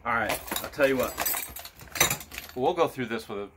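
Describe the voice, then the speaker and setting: A man in his thirties digging through a storage unit outdoors, talking excitedly to his phone camera in a casual vlog. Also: medium pitch, normal speed. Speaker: storage unit treasure hunter. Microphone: handheld phone camera. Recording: noisy outdoor phone recording.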